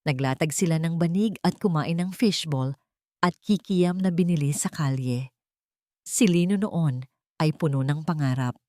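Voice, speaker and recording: A Filipino woman narrates a dramatic story in a lower-pitched voice. The recording is dry and close, with very little room sound. She reads from a script in a storytelling style. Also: warm tone, no room sound.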